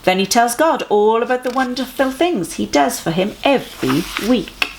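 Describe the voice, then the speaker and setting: A woman voicing a friendly, earnest male teacher in a children's storybook, emphatic and gently instructive. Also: a little high, animated, moderate pace, slight background noise, pitched above the performer's own voice. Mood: storytelling.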